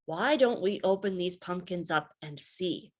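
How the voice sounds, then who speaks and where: Woman reading as an encouraging, animated male schoolteacher. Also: fairly low pitch, measured pace. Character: male schoolteacher, gently questioning his young class.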